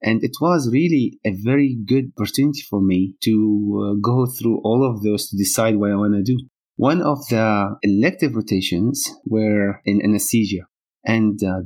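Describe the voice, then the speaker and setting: Low-voiced Syrian-born man, hesitant. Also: darkish tone. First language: Arabic. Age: middle-aged. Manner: hesitant, pausing with fillers. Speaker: guest physician. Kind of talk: interview answers.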